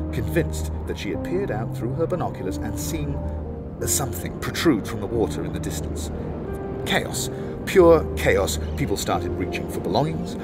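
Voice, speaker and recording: A man's voice: medium pitch, lightly acted. An actor playing a devoted male ship passenger, telling his story in an intimate, lyrical first-person monologue for an audio drama. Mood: frantic, urgent. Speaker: narrator-protagonist of an audio play. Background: loud music score.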